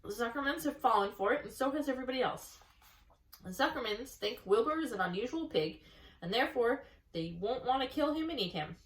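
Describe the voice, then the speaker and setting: A woman voicing a brisk, clever female spider who takes charge in a storybook reading. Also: on the high side, animated, voice pushed up, lightly acted. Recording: echoey room, distant mic.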